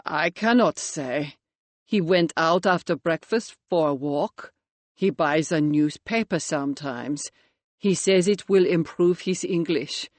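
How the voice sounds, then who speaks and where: A woman voicing a guarded young male trainee chef with an Eastern European accent, speaking in low, terse, broken English. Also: slow, dry recording.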